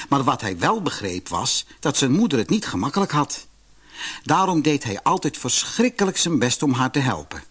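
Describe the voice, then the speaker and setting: A man reading a children's fairy tale as scripted narration, in an expressive, measured storybook manner. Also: mid-range voice, breathy edge.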